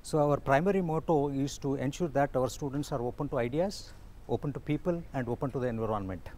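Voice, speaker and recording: A man's voice: medium pitch, fast talker, dark tone. A man in his fifties, an institute director, explaining things confidently in an interview outdoors on a lapel mic. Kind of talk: interview answers. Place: outdoors on campus stairs.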